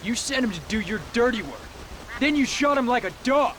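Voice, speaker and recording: An actor playing a bitter, determined young cowboy seeking vengeance in a Western video game. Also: male voice, very high voice, animated, brisk, fairly bright tone. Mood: vengeful anger. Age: young adult. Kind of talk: video game dramatic acting. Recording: noisy outdoor ambience behind.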